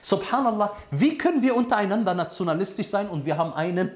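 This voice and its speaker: Male preacher, slightly higher voice, teaching and questioning his listeners, Arabic phrases woven in, echoey room. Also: lively intonation, fast. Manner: asks the audience questions and answers them himself. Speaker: Islamic preacher. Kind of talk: sermon lesson to a congregation.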